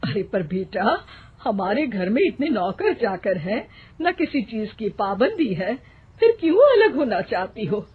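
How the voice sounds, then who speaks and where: A woman's voice: medium pitch, very animated, a little slow, slightly rough, exaggerated voice. A woman storyteller playing a firm, earnest elderly father who reasons and pleads. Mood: pleading. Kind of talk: storybook character acting. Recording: thin phone-like sound, faint noise.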